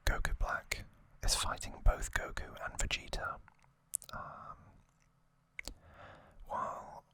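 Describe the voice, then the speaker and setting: An ASMR host talks entirely in a breathy whisper. The delivery is very slow and unscripted, rambling gently through trivia and opinion. The whispered sound is very bright and sharp at the edges. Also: no echo.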